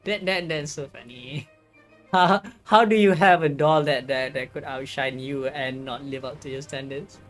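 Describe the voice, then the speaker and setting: A woman streaming a story game and chatting casually as she goes. She stumbles and repeats words in an amused way, with game music clearly playing behind her voice. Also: extremely low pitch, a little slow. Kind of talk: casual gameplay commentary and reading aloud.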